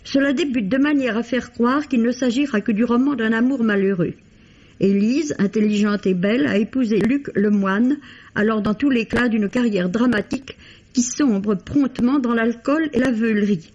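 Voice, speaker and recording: A woman literary critic reading a scripted radio book review in a measured, formal way. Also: a little high, darkish tone. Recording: dull old radio recording.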